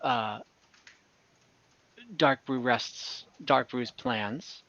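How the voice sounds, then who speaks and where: A man voicing a prim, guarded town clerk who questions the players slowly and carefully. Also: mid-range voice, fairly even intonation, pushed and bright, highs cut off, heavily acted. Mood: puzzled.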